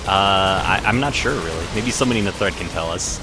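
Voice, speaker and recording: A man with a fairly low voice chats casually as a let's play co-commentator. His jokes are wry and sarcastic, and game music plays behind him. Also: fast talker, low-quality recording. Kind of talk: casual gaming commentary chat. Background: video game music.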